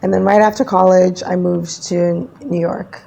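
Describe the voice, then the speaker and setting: A woman from Ghana, a guest founder, answering interview questions in a relaxed, thoughtful way. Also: fairly low pitch, moderate pace, creaky, highs cut off. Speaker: guest, NFT project founder.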